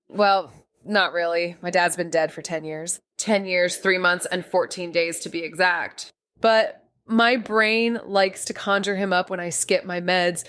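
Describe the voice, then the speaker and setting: An actress playing a sardonic, restless young small-town amateur sleuth, narrating an audio drama in slangy first person. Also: female voice, lowish voice, fairly bright tone, dry recording, close to an everyday voice. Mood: sardonic, confiding. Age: young adult. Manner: wry, sarcastic, slangy.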